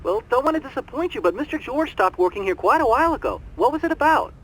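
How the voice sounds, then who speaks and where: A man's voice: very high voice, sing-song, fast talker, a bit bright, heavily acted, poor audio. A man playing an obliging hotel barman in a video game, chatty and folksy with a theatrical delivery. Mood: helpful, chatty.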